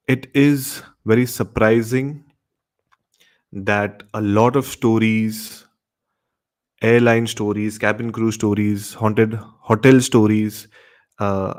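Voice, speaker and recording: A man with an Indian accent hosting a live-streamed horror story show, addressing his listeners directly. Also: fairly low pitch.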